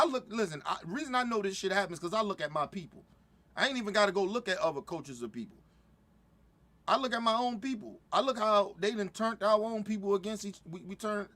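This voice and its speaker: Middle-aged man, fired-up reaction host, high-pitched voice, stumbling restarts. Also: animated, brisk, bright, forceful tone. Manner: heated, repetitive, stumbling restarts. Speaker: commentator reacting to a video. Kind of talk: profane casual reaction commentary.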